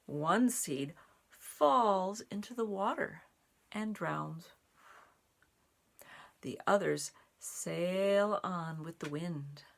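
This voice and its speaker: A woman reading a children's picture book aloud, phrasing it deliberately in short pieces with animated emphasis. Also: medium pitch, creaky. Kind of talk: expressive read-aloud for young children.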